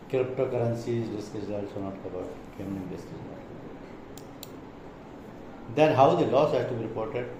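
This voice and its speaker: Echoey, low-voiced Indian man presenting. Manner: didactic, reading points then explaining them. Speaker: insurance trainer. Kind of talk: explanatory product presentation. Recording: echoey room with clear background noise.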